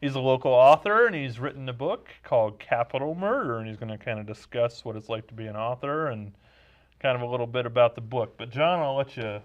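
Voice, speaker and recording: A man's voice: mid-range voice, lively intonation, brisk, lo-fi sound. A man co-hosting an interview show with casual, joking chat and a readiness to laugh.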